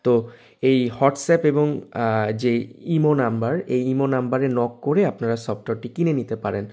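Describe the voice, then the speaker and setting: A man with a Bangladeshi accent hosts a casual tech video. His voice is mid-pitched and soft-edged, with a relaxed, mellow tone. He explains and promotes a product directly to viewers. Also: normal speed.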